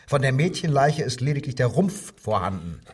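Casting: wartime police interrogator, male, husky, sardonic and insistent, radio crime drama, a little off the mic. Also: medium pitch, very animated, normal speed, recorded from a distance. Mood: mocking, needling. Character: male wartime German police detective, needling, coldly insistent. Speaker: actor.

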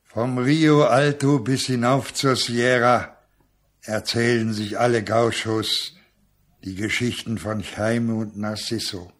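An actor playing a solemn teller of legends in a poetic radio play. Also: male voice, mid-range voice, steady tone, takes it slowly, fairly bright tone. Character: slow, solemn male teller of gaucho legends. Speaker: radio drama narrator. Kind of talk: poetic scripted radio narration.

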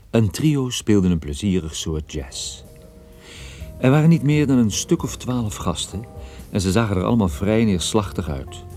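A man's voice: sits low, warm tone, no echo. An actor playing a worldly private detective, narrating his own case in a wry, understated way for a radio drama. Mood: wry, atmospheric. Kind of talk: scripted first-person radio drama narration.

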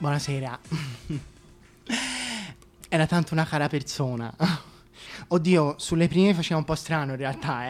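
A man's voice: on the high side, lightly acted. A man playing a gossipy, wistful former mistress of a king in a solo radio play, chatty and confiding. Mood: wistful reminiscing. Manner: chatty, confiding, colloquial, hesitant. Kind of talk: solo radio theatre monologue.

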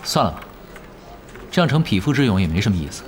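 A man's voice: fairly low pitch, sing-song, quick pace, slight background noise. A man playing a cocky, nonchalant expert gamer in an audio drama, blunt, smug and offhand. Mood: condescending. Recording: music bed under the dialogue.

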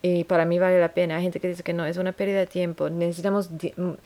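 Woman host, non-native Spanish speaker, slightly low voice, earnest and halting. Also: flat delivery, mellow. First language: not Spanish. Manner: earnest, restarts and searches for words. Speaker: podcast host. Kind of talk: solo podcast monologue.

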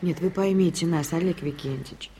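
A woman's voice: lowish voice, a little fast, warm tone, a little hiss or hum, lightly acted. An actress playing an anxious, polite middle-aged mother in a radio drama, speaking earnestly and apologetically. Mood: apologetic worry.

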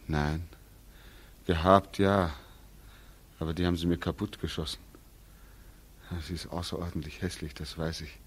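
An actor playing a weary, self-conscious returning soldier with a lame leg in a 1940s German radio play. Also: male voice, low voice, flat delivery, normal speed, pauses often, husky. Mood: dejected. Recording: vintage 1940s radio recording with music.

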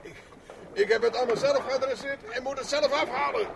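An actor playing a wry, stubborn captive private investigator in a radio drama, with a flippant manner. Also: male voice, very high voice, fairly even intonation, normal speed, vocal fry. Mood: desperate. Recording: noisy old radio play recording.

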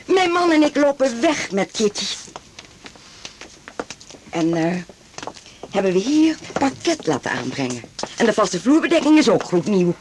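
An actress playing a genteel, calculating doctor's wife in a radio drama, with affected, gushing sales chatter. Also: female voice, a little high, big pitch swings, measured pace, long pauses, a little mellow, a little hiss or hum. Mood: smooth, insistent. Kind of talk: 1980s Dutch radio drama acting.

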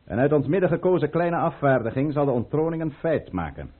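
A husky aristocratic conspirator in an old, narrow-band radio drama. He gives orders in solemn, formal oratory with a very mobile pitch, cool and calculating. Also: very animated, fairly quick. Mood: solemn, commanding. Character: commanding, calculating aristocratic count leading a conspiracy. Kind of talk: Dutch radio play acting. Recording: thin, phone-like old radio recording.

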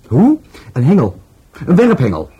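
Casting: a knowing, stubborn witness, dark rough-edged voice, blunt and sardonic radio play delivery. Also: male voice, fairly high pitch, wildly swooping pitch, measured pace, lo-fi sound, exaggerated voice. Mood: knowing, insistent.

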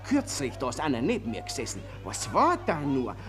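Chatty Viennese man, excitable, animated intonation, music behind, old radio drama. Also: mid-range voice, fairly quick. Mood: eager gossip. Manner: chatty, colloquial, eager. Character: excitable, gossipy Viennese theatre-goer, male. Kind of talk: 1940s German radio play acting.

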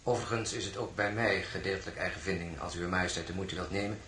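An actor playing a steadfast, courteous French marshal in a radio drama, speaking in a formal, measured, restrained way. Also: male voice, low-pitched, fairly even intonation, sharp tone. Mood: respectful, unyielding. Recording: echoey room with faint noise.

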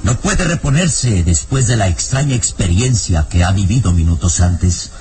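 A man's voice: lowish voice, lively intonation, warm tone, natural voice. A man with a Mexican accent narrating a gothic radio serial in a solemn, theatrical manner. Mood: solemn, dramatic. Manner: slow-phrased, solemn, theatrical. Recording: old radio serial with background music.

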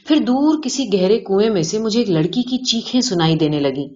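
Female audiobook narrator, mid-pitched voice, scripted literary storytelling, slightly dull lo-fi sound. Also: lively intonation, darkish tone. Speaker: audiobook reader of a short story.

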